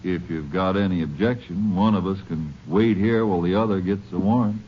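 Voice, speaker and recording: Guy doing a lowish terse ranger partner, muffled old radio. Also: fairly quick, a little hiss or hum, lightly acted. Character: matter-of-fact junior Ranger partner. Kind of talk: 1950s radio drama acting. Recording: dull old radio recording.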